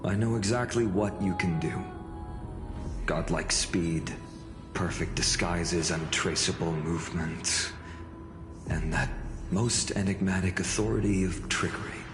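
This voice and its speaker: An earnest young leader, with a very low voice and a calm, courteous way of speaking. He talks slowly and deliberately. The voice sits in an echoey space with music clearly behind it. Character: earnest young male leader, polite and respectful. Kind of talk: game voice acting. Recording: roomy echo, music behind.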